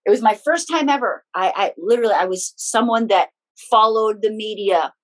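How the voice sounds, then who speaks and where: A woman's voice: mid-range voice, normal speed. Chatty woman telling her story. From Philippines. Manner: chatty, frank, personal anecdotes with small laughs. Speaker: guest, spiritual teacher and author. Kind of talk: casual conversational storytelling.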